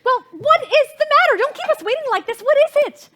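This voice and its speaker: A woman's voice: very high voice, animated, a little fast, bright, forceful tone, a little hiss or hum, full character voice. An actress playing a fussy, imperious society mother and hostess in a stage comedy, haughty, exasperated and theatrical. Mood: impatient.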